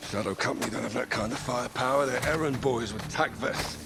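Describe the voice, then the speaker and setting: An actor playing a gruff, weary British special forces captain in a video game, with clipped military speech and a gravelly voice. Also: male voice, mid-range voice, brisk, background noise, lightly acted. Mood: weary, guarded. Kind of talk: dramatic video game cutscene acting.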